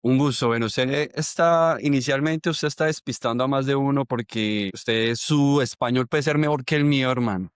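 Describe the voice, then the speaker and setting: A man's voice: mid-range voice, moderate pace, hardly any pauses, clean tone, no room sound, clean studio sound. A man hosting a podcast, reading an upbeat intro and chatting in a friendly way. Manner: upbeat, friendly, addresses listener directly. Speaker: podcast host. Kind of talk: scripted intro then casual interview.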